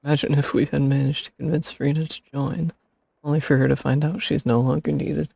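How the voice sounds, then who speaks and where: A man's voice: fairly high pitch, flat delivery, fairly quick, creaky, mellow, no echo. A man giving casual reaction commentary over a game in brief asides, close on a streaming mic.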